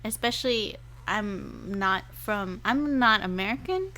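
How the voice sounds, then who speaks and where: A woman's voice: medium pitch, animated, unhurried, pushed and bright, a little hiss or hum. A woman from Singapore in a casual, conversational chat about personal life.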